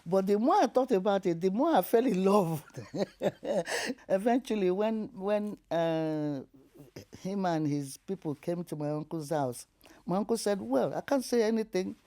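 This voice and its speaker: An older woman with a Nigerian accent tells her life story in an interview, animated and laughing through hard memories. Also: medium pitch, unhurried. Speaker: interview guest.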